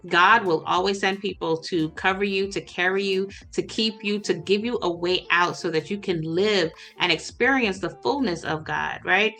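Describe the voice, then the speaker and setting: A woman's voice: mid-range voice, normal speed, few pauses, bright, forceful tone, soft background music. A woman hosting a faith podcast, enthusiastic and encouraging, speaking in a casual, preacherly way. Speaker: podcast host. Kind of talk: casual interview talk.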